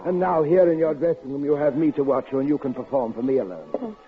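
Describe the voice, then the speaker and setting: An actor in a 1950s radio drama playing an obsessive, lonely man who threatens a woman with a knife, his soft crooning flaring into shrill outbursts. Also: fast talker, natural voice. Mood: agitated. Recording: tinny old radio broadcast with faint hiss.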